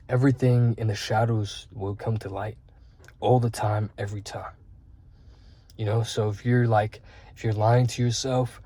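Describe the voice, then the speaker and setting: A man's voice: mid-range voice, warm tone, breathy edge. A man in his twenties giving earnest, rambling self-improvement talk as a vlogger, with frequent fillers and swearing. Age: 20s.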